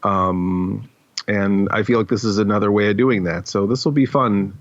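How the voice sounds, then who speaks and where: Low-voiced man, slightly dull dry recording. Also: fairly even intonation, moderate pace, no echo. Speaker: podcast host. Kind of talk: casual behind-the-scenes script discussion.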